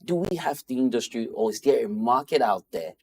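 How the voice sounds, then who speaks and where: A man's voice: mid-range voice, animated, fairly quick. A man co-hosting a studio talk show, questioning the guest in a conversational tone. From Nigeria. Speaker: co-host.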